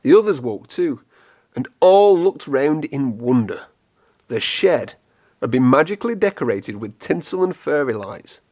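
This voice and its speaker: Lively, low-to-mid male storyteller. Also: a little slow. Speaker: storybook reader. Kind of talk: expressive children's story read-aloud.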